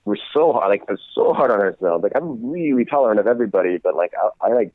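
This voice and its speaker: A young adult man in casual conversation as a guest, talking haltingly with many fillers and restarts. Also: lowish voice, lively intonation, no echo. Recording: tinny phone line.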